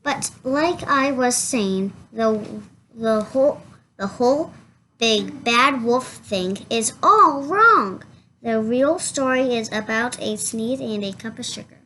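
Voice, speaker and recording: A chatty wolf from a children's storybook , read by a woman makes his excuses in a halting, protesting way. The voice is slightly high and slow, with faint noise behind it. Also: sharp tone, heavily acted. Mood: defensive. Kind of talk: children's storybook read-aloud in first person.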